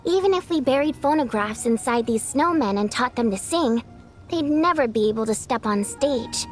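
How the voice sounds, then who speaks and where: A woman plays a spirited young stage performer in a video game. Her voice is high and very animated, with a theatrical, teasing, affectionately commanding manner. Soft music sits behind it. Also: moderate pace, natural voice. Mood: wistful.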